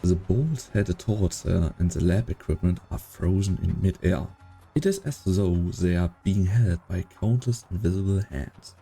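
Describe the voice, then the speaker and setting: A man's voice: deep voice, normal speed, creaky, mellow, slightly rough, soft background music, exaggerated voice, rough recording. A man playing a solemn storyteller, giving scripted dramatic narration for a science-fiction game dub. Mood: solemn, suspenseful.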